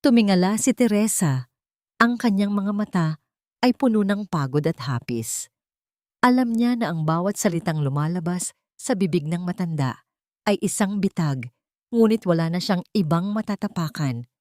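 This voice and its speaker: A woman audiobook narrator reading a scripted dramatic story with solemn, deliberate phrasing. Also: on the low side, lively intonation, darkish tone, no room sound, studio quality.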